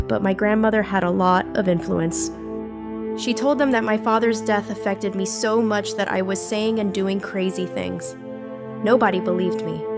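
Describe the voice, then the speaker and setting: A woman plays a bitter young female villain telling her life story in a comic dub. Her voice sits at an ordinary pitch for a woman, with music clearly playing underneath. The delivery is scripted dramatic narration. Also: close to an everyday voice. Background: background music.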